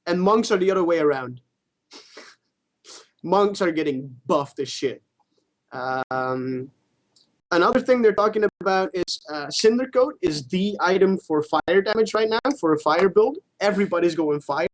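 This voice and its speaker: A man's voice: high voice, lively intonation, normal speed. A man co-hosting a gaming show, chatting casually and giving blunt opinions with some casual swearing.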